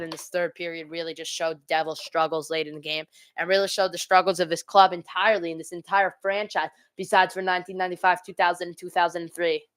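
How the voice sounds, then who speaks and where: A woman's voice: lowish voice, a bit bright, dry recording. A woman hosting a live hockey watch party, giving amateur play-by-play mixed with exasperated fan asides.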